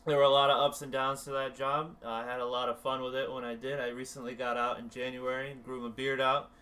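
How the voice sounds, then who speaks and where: Bright-voiced young man from Rhode Island. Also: mid-range voice, level tone, fast. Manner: laid-back, chuckling, earnest at times. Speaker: podcast guest. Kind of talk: casual chat.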